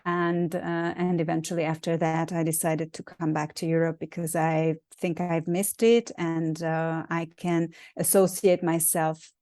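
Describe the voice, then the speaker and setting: A woman in her forties speaks English with a Hungarian accent, her voice lowish for a woman. She talks thoughtfully, pausing with ums and restarting phrases. The sound is dry and close. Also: level tone, mellow, no echo. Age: 40s. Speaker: guest, actress and acting coach. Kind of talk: casual interview chat.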